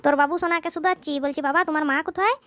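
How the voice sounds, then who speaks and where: A voice actor playing a gruff husband and father, scolding and muttering to himself in a cartoon. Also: high voice, steady tone, racing, a bit bright, no echo, clean studio sound. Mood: grumbling. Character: grumpy rural husband and father, blunt.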